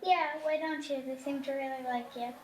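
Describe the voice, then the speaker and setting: A woman's voice: high-pitched, fairly even intonation, brisk, a little hiss or hum, natural voice. A woman playing a cheeky, streetwise young newsboy in a radio play, brash, slangy and wisecracking. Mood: cocky, teasing.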